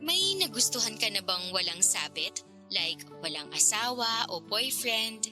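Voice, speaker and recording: A woman's voice: mid-range voice, sing-song, a little slow, sharp tone, faint music behind, heavily acted. An actress playing a curious, teasing, frank older girlfriend figure in a radio drama, talking in a playful, probing, casual way.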